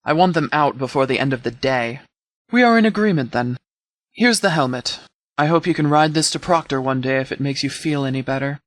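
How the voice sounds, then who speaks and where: A man voicing a stern, authoritative sheriff in a video game, speaking in a measured, commanding, formal way. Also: mid-range voice, a bit bright, no room sound, natural voice. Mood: measured, businesslike. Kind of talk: scripted game dialogue.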